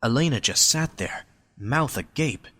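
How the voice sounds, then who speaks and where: A man's voice: medium pitch, animated, a little slow, pushed and bright. A man reading scripted story narration as the narrator of an amateur audio drama.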